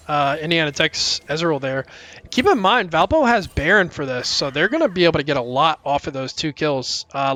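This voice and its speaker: A young man casting a live college esports match, calling plays quickly, with many fillers and sudden jumps in pitch at big plays. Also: pushed and bright. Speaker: esports caster. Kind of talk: live play-by-play commentary. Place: college esports broadcast booth.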